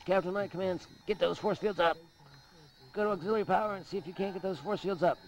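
A man's voice: high-pitched, fast talker, low-quality recording. A man voicing a stern, barking commander of a space prison outpost in an amateur cartoon. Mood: commanding. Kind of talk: amateur animated sci-fi voice acting.

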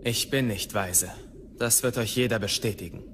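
An actor playing a restless, frustrated, headstrong young Jedi in a radio play. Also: male voice, on the low side, moderate pace, noisy background, natural voice. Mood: impatient.

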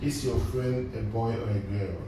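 A man's voice: fairly low pitch, normal speed. Echoey, distant male English teacher examining. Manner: prompting, repeats questions and answers. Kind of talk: oral exam questioning, slow and simple classroom speech. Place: small indoor test room. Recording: echoey room, distant mic, noisy.

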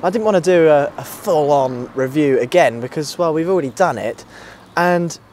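A man's voice: on the high side, moderate pace, darkish tone. Easygoing British man vlogging over outdoor noise. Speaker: motorcycle reviewer, channel host. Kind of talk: casual vlog chat to camera. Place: outdoors at a table outside a country pub. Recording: clear outdoor ambient and vehicle noise.

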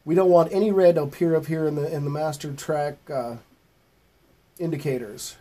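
Mellow man giving a folksy studio tutorial. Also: a little high, fairly even intonation, moderate pace. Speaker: host of music recording tutorial. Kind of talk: informal step-by-step tutorial narration. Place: small home studio with acoustic foam.

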